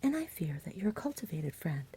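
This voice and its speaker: A woman voicing a courteous, melancholy knight with formal speech, whispered softly in an ASMR storybook reading. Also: sits low, big pitch swings, moderate pace, slightly rough, heavily acted. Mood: grave, courteous. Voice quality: soft, whispery. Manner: formal, grave, good-natured.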